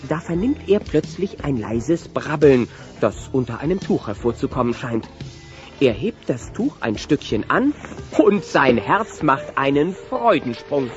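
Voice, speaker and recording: A man narrating a scripted children's audio story in an expressive storytelling style. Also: medium pitch, measured pace. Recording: dull lo-fi cassette transfer. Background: music.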